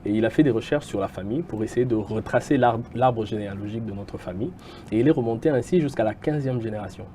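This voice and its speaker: Un homme originaire du Kasaï, au Congo, invité d'une interview, qui répond avec beaucoup d'hésitations. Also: male voice, fairly low pitch, fast talker, dark tone, a little hiss or hum. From Kasai, Congo. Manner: hesitant, many filler sounds. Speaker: guest, entrepreneur. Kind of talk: interview answers.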